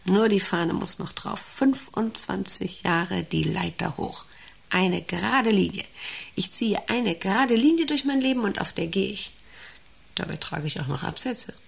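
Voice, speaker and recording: Creaky-voiced, self-important female company boss. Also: mid-range voice, animated, unhurried. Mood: self-satisfied, rambling. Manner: rambling, domineering, self-absorbed. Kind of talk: radio play monologue and dialogue.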